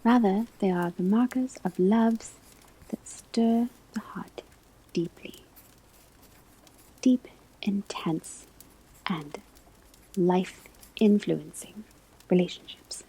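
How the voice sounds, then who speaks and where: A woman whispering in a breathy, husky voice, delivering a scripted ASMR classroom lecture as a teacher, with deliberate, measured phrasing. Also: mid-range voice, warm tone, poor audio. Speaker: ASMR performer playing a divination class teacher.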